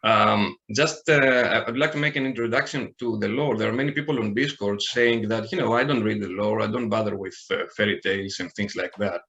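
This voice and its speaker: A man's voice: on the low side, fairly even intonation, a little fast, few pauses, fairly bright tone, muffled recording. A man from Greece with a Greek accent, a podcast guest talking in a hesitant way with many fillers and restarts. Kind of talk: casual podcast chat.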